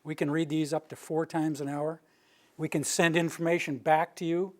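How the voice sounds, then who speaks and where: An older man from the Rocky Mountain region gives an informal presentation to a roomful of listeners. His delivery is steady and close to monotone, and his voice sits slightly higher than most men's. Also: normal speed. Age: older, near retirement. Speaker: utility planning director giving a public presentation. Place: meeting room with a live audience.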